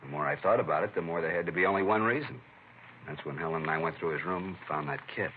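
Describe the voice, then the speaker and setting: A tinny, hissy vintage radio recording of a man playing a stern middle-aged American father. His voice is low and he talks quickly, with a hot temper and little patience left, in classic crime drama style. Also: natural voice. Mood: troubled. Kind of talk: old-time radio crime drama acting.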